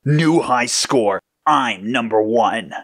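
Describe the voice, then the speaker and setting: A man's voice: mid-range voice, moderate pace, a bit bright, pitched above the performer's own voice. A man voicing a hot-headed, competitive agent in an audio story, indignant and demanding. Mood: triumphant. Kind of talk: acted audio story dialogue.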